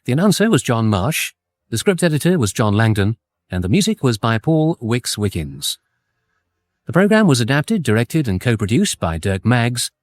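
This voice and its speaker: A man giving a scripted, formal, deadpan radio announcement. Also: mid-range voice, normal speed, dry recording.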